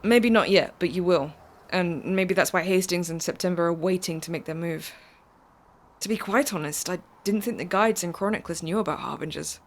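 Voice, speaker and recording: Actress as calm, knowing woman with a low voice. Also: normal speed, close to an everyday voice. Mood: cool, assured. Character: mysterious, calm, insistent woman driver, secret protector. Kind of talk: audio drama acting.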